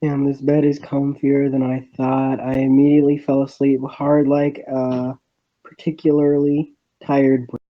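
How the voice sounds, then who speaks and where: A man reading a first-person visual novel story aloud, haltingly and with stumbles over the words. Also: medium pitch, monotone, dull audio.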